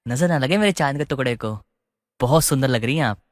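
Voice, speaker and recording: A man's voice: medium pitch, lively intonation, fairly quick, clean studio sound, lightly acted. Man playing young husband, long reverb. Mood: affectionate. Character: eager, bashful young newlywed husband.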